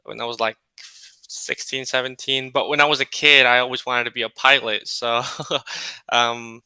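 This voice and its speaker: A man from California, an English tutor chatting on a video call in a relaxed, friendly way with many pauses and fillers. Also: mid-range voice, clean tone, dry recording. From California, United States. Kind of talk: casual video-call conversation.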